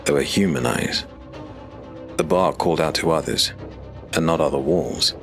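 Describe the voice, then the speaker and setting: A man narrating a scripted dark fantasy story as an audiobook narrator. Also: very deep voice, moderate pace, faint music behind.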